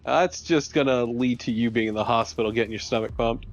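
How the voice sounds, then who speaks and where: A man's voice: medium pitch, a little fast, lo-fi sound, slight background noise. A man co-commentating a video game in casual, crude, teasing banter. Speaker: let's play co-commentator. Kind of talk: casual banter over gameplay.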